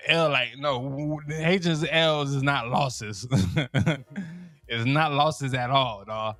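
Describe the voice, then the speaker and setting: A man's voice: medium pitch, steady tone, takes it slowly, fairly bright tone, no room sound, soft background music. A young man from Miami with a Miami accent, telling comic stories in a loose, slangy way, repeating himself and laughing at his own lines. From Miami, Florida. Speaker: comedian. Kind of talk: casual stand-up style storytelling.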